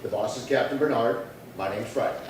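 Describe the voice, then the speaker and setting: A man playing a terse, deadpan police detective sergeant in a 1950s-style radio crime drama, clipped and businesslike. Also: mid-range voice, a little fast, lightly acted. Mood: matter-of-fact narration. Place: live theatre performance space. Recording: very echoey hall, distant, faint noise.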